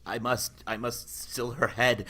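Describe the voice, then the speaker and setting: A man playing an anguished, hesitant young suitor in old-time radio drama style, halting and stammering, on a noisy track. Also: medium pitch, level tone, sharp tone. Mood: shaken, faint. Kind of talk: 1940s radio acting.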